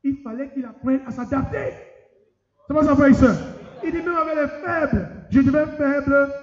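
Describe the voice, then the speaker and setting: A middle-aged man preaching a lively sermon, talking straight to the congregation in a conference hall. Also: very high-pitched, normal speed. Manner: lively, addresses the congregation directly. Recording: echoey hall, dull sound.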